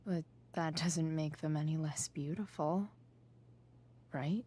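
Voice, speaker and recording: A woman playing a supportive, wry teenage girl in a video game, speaking in a hushed, tentative, breathy voice. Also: deep voice, steady tone, slow, exaggerated voice. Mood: gently reassuring. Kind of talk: naturalistic video game voice acting.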